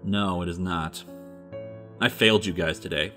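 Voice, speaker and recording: A man's voice: low voice, moderate pace, sharp tone, faint music behind. A man playing an earnest, self-critical leader of an embattled group in a web film, speaking emphatically. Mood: remorseful, sincere. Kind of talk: scripted web film dialogue.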